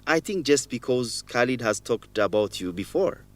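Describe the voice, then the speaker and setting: A man's voice: medium pitch, animated, moderate pace, no echo, natural voice. An actor playing a polite, reserved young man in an educational radio drama. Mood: hesitant, polite.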